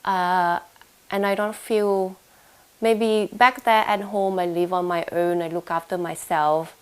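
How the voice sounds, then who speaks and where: A woman's voice: fairly low pitch, steady tone, slow pace, clear, resonant tone. A young woman from Thailand with a Thai accent, answering interview questions with frequent fillers and restarts. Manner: hesitant, many fillers and restarts. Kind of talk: casual oral history interview. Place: home room in London.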